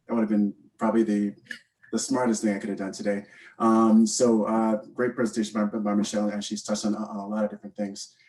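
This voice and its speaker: Low-voiced Canadian man, hesitant delivery. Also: completely flat delivery, fairly quick, darkish tone, distant mic, low-quality recording. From born in Guyana, raised in Canada from age eight. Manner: hesitant, often repeats words. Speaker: panel speaker, mentorship program co-founder. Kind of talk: informal unscripted talk.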